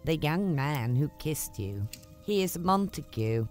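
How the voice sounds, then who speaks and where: A mature household nurse in a storybook drama. The voice is very low and slow, practical and cautioning, over quiet music. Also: female voice, big pitch swings, full character voice. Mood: grave.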